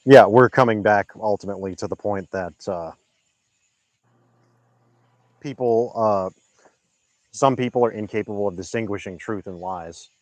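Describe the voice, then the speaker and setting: A man co-hosting a casual livestream, making measured, dry remarks. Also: lowish voice, a little mellow, no room sound. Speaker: co-host.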